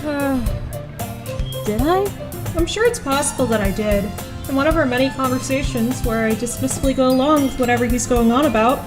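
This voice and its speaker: A woman playing a reluctant, exasperated high school girl in an audio drama, with dry, grudging first-person narration and dialogue. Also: fairly quick, over music, close to an everyday voice.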